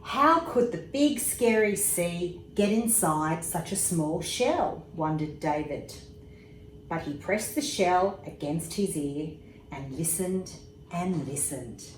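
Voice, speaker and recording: Slow-paced woman reading a bedtime story, roomy echo. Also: lowish voice. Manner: unhurried, expressive, pauses for asides about pictures. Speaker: storybook reader, emergency services commissioner. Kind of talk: bedtime story read aloud to young children. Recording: roomy echo with faint background noise.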